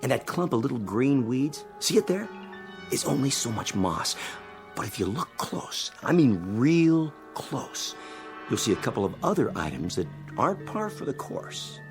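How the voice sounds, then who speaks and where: A man's voice: mid-range voice, sing-song, normal speed, over music. An actor playing a cocky, teasing male space explorer in a radio drama, boastfully and eagerly showing off his secret find.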